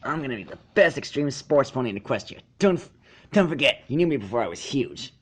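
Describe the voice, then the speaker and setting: A man's voice: medium pitch, very animated, fast, breathy edge. A man voicing a brash, boastful young tomboy pegasus pony in a storybook reading, with a casual, cocky manner.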